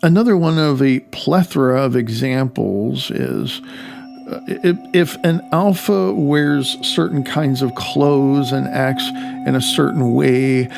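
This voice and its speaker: A man reading his own nonfiction audiobook as its author, with deliberate phrasing and dramatic pauses. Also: mid-range voice, gravelly, darkish tone, faint music behind. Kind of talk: audiobook narration.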